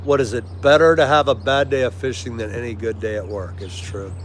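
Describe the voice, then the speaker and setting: A man's voice: mid-range voice, fairly even intonation, brisk. A man with an American accent hosting a livestream, chatting casually and agreeably with viewers in a friendly way. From United States. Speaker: livestream host. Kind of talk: casual chat with live viewers. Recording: clear background noise, vehicle-like ambience.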